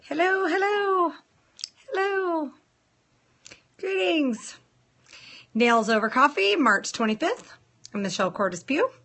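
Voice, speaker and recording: A woman hosting a casual live stream, chatting playfully with viewers and greeting them in a sing-song lilt, her voice a little rough from a sore throat. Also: high voice, animated, takes it slowly, long pauses, fairly bright tone. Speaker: nail salon owner hosting a live stream.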